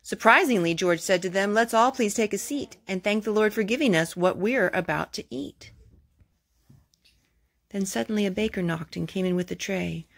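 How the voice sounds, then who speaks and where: A woman hosting a children's storytime, reading aloud in an expressive storytelling manner. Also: mid-range voice, normal speed, vocal fry.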